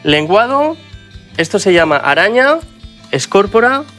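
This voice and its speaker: A man's voice: high-pitched, sing-song, unhurried, a bit bright, faint music behind. A middle-aged man with a Spanish accent, a chef hosting a TV cooking show, explaining ingredients to viewers in a studio kitchen. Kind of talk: TV cooking demonstration.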